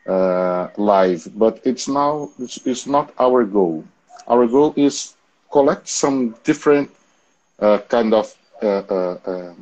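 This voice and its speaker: A man in his forties with a Brazilian accent, a native Portuguese speaker, hosting a casual online interview in a halting way with repetitions. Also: on the low side, darkish tone, rough recording. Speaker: interview host. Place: home balcony.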